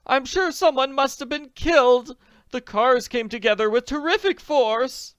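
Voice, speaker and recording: A reader voicing an excitable woman in a storybook who keeps crying out in alarm. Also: medium pitch, normal speed, smooth, dry recording, studio quality, voice pushed up, natural voice. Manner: exclamatory, cries out. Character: excitable woman, the worried lady's friend.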